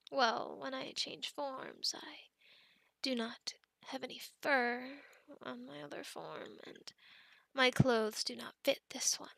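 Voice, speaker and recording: ASMR roleplay: protective, possessive she-werewolf played by a woman, whispery and formal, bright edge, very close and dry. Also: high voice, dry recording, full character voice. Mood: coy, earnest. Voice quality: breathy, often whispered. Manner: slow, soothing, formal, deliberate phrasing. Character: possessive, protective female werewolf doting on her captive.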